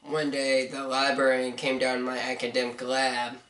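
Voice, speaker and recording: Hoarse young man rambling from across a room. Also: medium pitch, monotone, moderate pace, a bit bright. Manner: rambling, hesitant, many fillers. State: hoarse, stuffy. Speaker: book reviewer. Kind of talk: casual video review. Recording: roomy, mic at a distance, faint noise.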